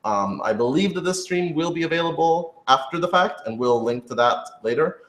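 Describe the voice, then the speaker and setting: A man's voice: a little high, lively intonation, normal speed, fairly bright tone. A man casually co-hosting a live Q&A, explaining things in an organized way with dry humor. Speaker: co-host and producer of an audio drama. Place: indoor room, on a couch. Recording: echoey, roomy sound.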